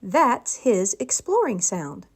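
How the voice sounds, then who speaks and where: Storybook primary teacher, read by a woman: gentle, patient, explanatory, lively pitch movement. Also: slow, husky, exaggerated voice. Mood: reassuring, explaining. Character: gentle, reasoning female primary school teacher.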